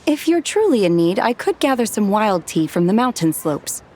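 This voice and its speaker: A woman playing a calm, earnest, reserved young restaurant helper in a video game, composed and polite. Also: mid-range voice, normal speed, slight background noise, natural voice. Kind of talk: video game cutscene dub acting.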